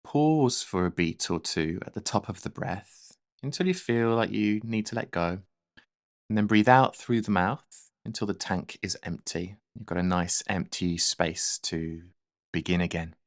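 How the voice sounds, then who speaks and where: A man leading a guided meditation in a gentle, soothing, unhurried manner. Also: low voice, dry recording, clean studio sound.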